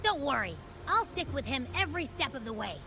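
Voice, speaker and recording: An actress playing a plucky, chatty, easily spooked young companion in a video game, with an expressive, casual delivery. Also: female voice, high voice, brisk, music in the background. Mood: reassuring. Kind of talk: animated video game acting.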